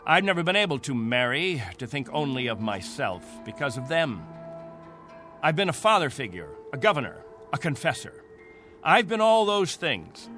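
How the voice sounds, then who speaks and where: An actor playing a domineering, proud captain of stranded space settlers in a radio drama, speaking with unyielding authority. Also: male voice, on the high side, pushed and bright. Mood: impassioned, possessive.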